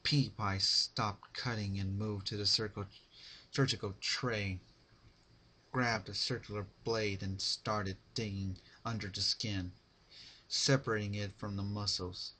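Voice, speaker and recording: A man narrating fan fiction as a dramatic reading, with deliberate phrasing. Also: lowish voice, fairly even intonation, sharp tone, slight background noise.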